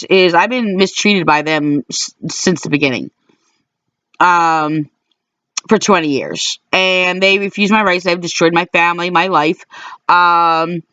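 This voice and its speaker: Middle-aged woman, aggrieved, stop-start delivery, smooth voice, dry close lo-fi sound. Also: fairly low pitch, fairly bright tone, no echo. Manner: halting and aggrieved, many fillers and restarts. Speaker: complainant recounting calls to police. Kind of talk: personal recorded monologue. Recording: dull lo-fi dry recording.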